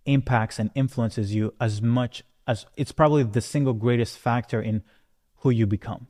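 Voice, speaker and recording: A man's voice: lowish voice, warm tone. A man from Rwanda who moved to Canada as a teenager, an entrepreneur giving a conversational, emphatic motivational talk that often checks in with the listener. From born in Rwanda, moved to Canada at thirteen. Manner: conversational, emphatic, punctuated with check-in questions like "right?". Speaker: entrepreneur presenting. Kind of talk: motivational life-story talk to camera. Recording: long hall-like reverb.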